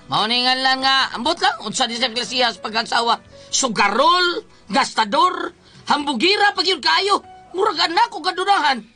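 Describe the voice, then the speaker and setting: A man's voice: very high voice, moderate pace, sharp tone, soft background music, exaggerated voice. A man playing a long-suffering, grumbling husband in a radio drama, with a Cebuano Filipino accent and an exasperated way of talking. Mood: weary complaint. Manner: exasperated, complaining.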